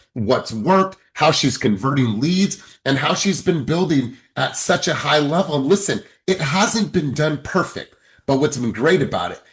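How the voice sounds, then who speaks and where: Upbeat man hosting a video coaching call. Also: mid-range voice. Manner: upbeat, hyping the audience with repeated phrases. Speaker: sales coach and session host. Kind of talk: energetic group coaching call.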